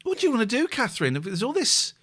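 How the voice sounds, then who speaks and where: A man nearing fifty chats loosely on a live stream, his pitch swinging widely from low mutters to sudden high bursts. He rambles, repeats himself and pokes fun at himself while reading viewers' comments aloud. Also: huge pitch swings, fast, a bit bright. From England. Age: 40s. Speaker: host. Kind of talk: casual live-stream chat.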